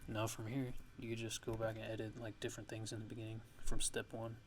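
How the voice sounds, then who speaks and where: A man giving a casual, hushed ASMR-style software tutorial, explaining things in a halting, unscripted way. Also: on the low side, monotone, soft background music. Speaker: music production tutorial host.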